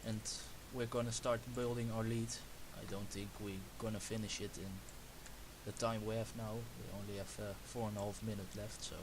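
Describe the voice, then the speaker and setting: A young man with a Dutch accent, Dutch his first language, explaining music production step by step in a halting, self-correcting way. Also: fairly low pitch, level tone. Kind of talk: screen-recorded production tutorial. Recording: hissy home recording.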